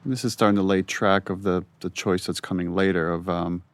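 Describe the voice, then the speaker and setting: A man with a deep voice and a flat, steady melody. He talks through scenes as a director would, conversationally, pausing and rephrasing as he thinks. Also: no room sound. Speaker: game director giving commentary. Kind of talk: director's commentary, casual conversational.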